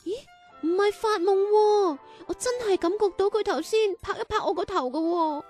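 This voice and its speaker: Casting: a sad, sincere little girl, extremely high childlike voice, plaintive delivery. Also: moderate pace, darkish tone, soft background music. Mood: surprised, timid. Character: earnest, sorrowful young girl with painful illness. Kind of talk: Cantonese children's radio drama acting.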